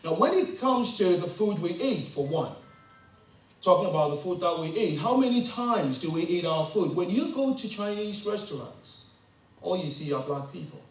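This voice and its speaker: A man's voice: high-pitched, very animated, moderate pace, faint music behind. A middle-aged man from Ghana, giving an impassioned public address full of rhetorical questions that rise to emphatic peaks. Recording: very echoey room, mic from a distance.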